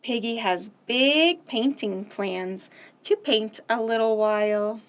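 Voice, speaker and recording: A woman hosting a children's storytime, reading picture books aloud gently and asking the kids questions. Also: fairly high pitch. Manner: gentle, slow, sing-song, asks children questions.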